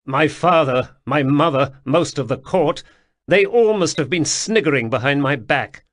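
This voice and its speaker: A man voicing a desperate young noble man who pleads, protests and breaks into shouting. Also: fairly high pitch, animated, unhurried, dry recording, pitched above the performer's own voice. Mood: earnest.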